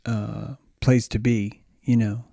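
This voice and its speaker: A man in a casual podcast chat, agreeing easily and trailing off in relaxed asides. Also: on the low side, slow pace, gravelly, no room sound, studio quality. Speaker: podcast co-host.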